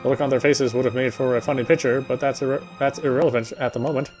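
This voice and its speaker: A man's voice: medium pitch, steady tone, fast, darkish tone, faint music behind. A man reading visual novel narration aloud, sometimes stumbling and repeating phrases. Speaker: playthrough reader.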